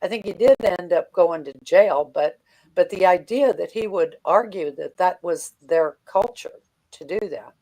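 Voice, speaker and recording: An older American woman as a guest commentator, talking politics conversationally in a halting, blunt manner. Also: on the low side, dark tone, rough recording.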